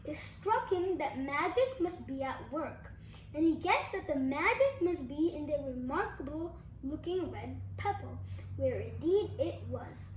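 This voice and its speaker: Child storybook reader, very high voice, careful delivery, recorded in an echoey room. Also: sing-song, measured pace. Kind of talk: storybook read-aloud. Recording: echoey room, faint background noise.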